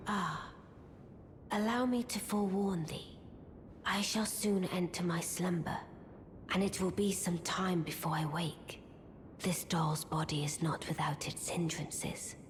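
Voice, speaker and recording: Doll-bodied witch played by a woman: very low, hushed, composed game voice with faint background noise. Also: unhurried, natural voice. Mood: calm, solemn. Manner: archaic, stately, composed. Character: regal, enigmatic witch in a doll's body. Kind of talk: video game character dialogue.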